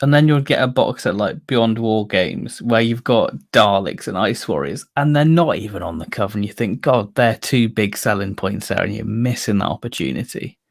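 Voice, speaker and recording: Male co-host, expressive range with some fry, opinionated and informal fan chatter. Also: on the low side, normal speed, few pauses. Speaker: co-host of a fan discussion stream. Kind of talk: casual fan chat.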